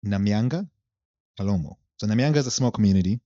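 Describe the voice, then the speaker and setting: A young man from Zambia, a podcast guest, explaining his background at length in a relaxed chat. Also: on the low side, fast talker, no room sound, highs cut off. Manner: relaxed storytelling, long explanations. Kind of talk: casual chat.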